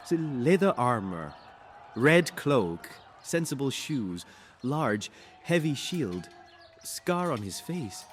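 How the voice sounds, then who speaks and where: A man's voice: mid-range voice, big pitch swings, slow, a little hiss or hum, voice pushed up. A man playing a wry adult son watching a Roman arena show, speaking expressively in an audiobook drama.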